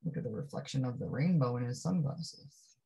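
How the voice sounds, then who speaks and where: A woman reading a picture book aloud to young children, expressively, with drawn-out phrases and chatty asides that put questions to the listener. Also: very deep voice, expressive, creaky, mellow, breathy edge. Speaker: storybook reader.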